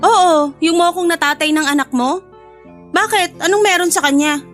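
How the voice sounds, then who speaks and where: A woman's voice: extremely high pitch, normal speed, a bit bright, faint music behind. A woman playing a blunt, protective best friend with a sassy, scolding manner in an audio drama. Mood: curious, concerned.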